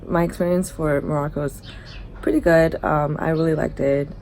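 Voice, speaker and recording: A young woman chatting casually to camera as a travel vlogger, relaxed and drowsy, recorded at arm's length indoors. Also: low-pitched, a little hiss or hum. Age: young adult. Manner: relaxed, slow, drowsy after a massage. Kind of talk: casual vlog chat to camera. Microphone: camera held at arm's length. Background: background music.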